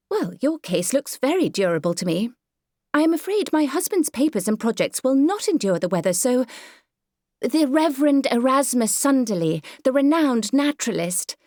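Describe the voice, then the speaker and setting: A woman's voice: on the high side, darkish tone, dry recording, studio quality, pitched above the performer's own voice. A woman voicing a charming, bossy Victorian mother in an audiobook, gushing and sweetly insistent in her politeness. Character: charming, bossy, unabashed Victorian mother talking over everybody. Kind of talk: audiobook character dialogue.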